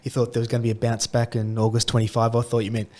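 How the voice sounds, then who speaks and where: A man hosting a podcast, his voice husky from a cold, chatting in an upbeat way. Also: mid-range voice, monotone, fast, darkish tone. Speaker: podcast host. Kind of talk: casual podcast chat.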